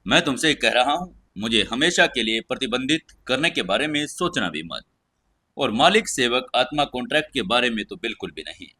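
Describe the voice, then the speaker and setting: A weakened dark spirit from an audio fantasy tale, acted by a male narrator. He is proud, wary and self-important, with a bright, forceful edge to his voice. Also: medium pitch, expressive, moderate pace, breathy edge. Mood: suspicious. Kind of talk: audio drama storytelling.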